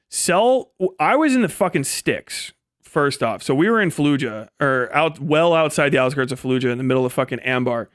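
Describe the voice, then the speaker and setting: A man's voice: a little high, a bit bright, clear, resonant tone, dry recording, clean studio sound. A middle-aged man telling stories in a casual, rambling livestream style, with lots of swearing and slang. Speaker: streamer telling stories from military service.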